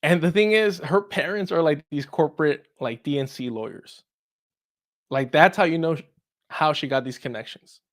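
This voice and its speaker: Higher-voiced man hosting irreverent political talk. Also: normal speed, fairly bright tone. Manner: irreverent, sweary, chuckling. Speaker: host. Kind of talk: casual political commentary.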